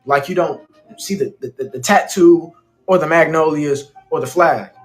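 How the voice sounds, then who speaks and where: A man from the Mississippi Delta in a played social media clip, speaking in a measured, articulate, questioning way. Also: fairly high pitch, faint music behind.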